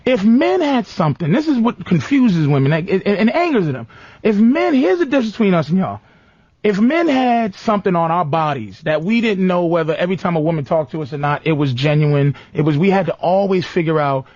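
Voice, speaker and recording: A man's voice: on the high side, expressive, fairly quick, a little mellow, no echo, dull audio. A man hosting a talk show, arguing in a heated comedic debate with insistent, slangy interruptions.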